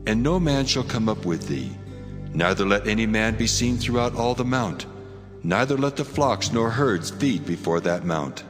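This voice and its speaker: A man's voice: on the low side, animated, moderate pace, lightly acted. A man voicing a solemn, commanding God in a dramatized scripture reading, declaiming his words. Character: the Lord God, solemn commanding male deity. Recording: long cavernous reverb, music bed. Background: music.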